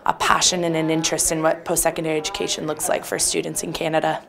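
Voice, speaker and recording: A woman's voice: deep voice, little pitch movement, fast talker, creaky, over music. A woman student leader from Nova Scotia, giving upbeat interview answers.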